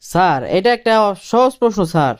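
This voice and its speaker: A man voicing a cheeky, quick-witted cartoon job candidate who explains things deferentially in colloquial Dhaka-accented Bangladeshi Bengali. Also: sits high, sing-song. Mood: confidently explaining. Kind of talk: comic cartoon dialogue.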